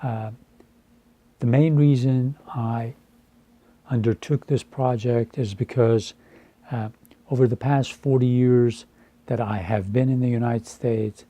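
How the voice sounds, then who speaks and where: Husky, very dark-voiced Iranian man in his fifties. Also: medium pitch, fairly even intonation, no room sound. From Iran. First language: Farsi. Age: 50s. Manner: deliberate, measured, with frequent hesitations. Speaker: translator. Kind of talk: earnest personal address to camera.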